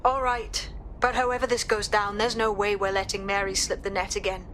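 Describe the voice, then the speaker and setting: A woman's voice: mid-range voice, little pitch movement, fairly quick, fairly bright tone, slight background noise, close to an everyday voice. A woman playing a stern, skeptical leader of a hacker group in a game. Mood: challenging. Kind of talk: game cutscene dialogue.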